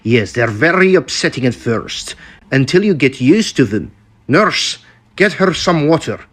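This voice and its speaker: A man playing a corruptible asylum doctor in an old-time radio melodrama, with a formal, measured manner. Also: medium pitch, sing-song, a bit bright. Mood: matter-of-fact. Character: corruptible asylum doctor, professional, easily bribed.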